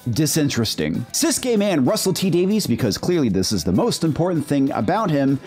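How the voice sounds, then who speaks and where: Man, video critic, lively swinging intonation, slightly high voice, sarcastic scripted commentary, music behind. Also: fairly quick. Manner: sarcastic, mocking.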